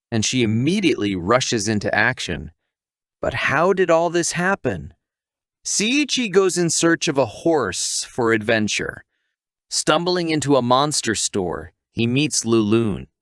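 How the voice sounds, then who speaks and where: A man's voice: mid-range voice, huge pitch swings, a little slow, fairly bright tone, no room sound, studio quality. A man narrating a scripted story recap, with casual asides to the listener.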